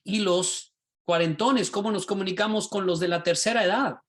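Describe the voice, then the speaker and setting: A man, a pastor and teacher, teaching a class over a video call in a lively, sermon-like way, often asking his listeners rhetorical questions. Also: sits high, normal speed. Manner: animated, rhetorical questions, asks the class directly.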